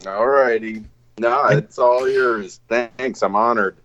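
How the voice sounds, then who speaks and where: A man's voice: mid-range voice, takes it slowly, fairly bright tone. Laconic man on a muffled line. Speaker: wrestling veteran on the show. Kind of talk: casual chat. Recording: dull, lo-fi sound.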